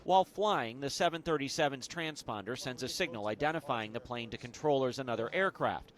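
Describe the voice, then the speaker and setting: A man's voice: mid-range voice, a little fast, a bit bright. A man with an American accent, working as a TV reporter and reading scripted news narration.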